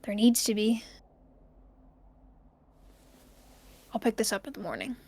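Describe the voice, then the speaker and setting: A woman playing an anxious amateur investigator in an audio drama, dictating personal notes in halting, hesitant fragments. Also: a little high, lively intonation, vocal fry, a little mellow. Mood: uneasy resolve. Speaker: main character recording an audio journal.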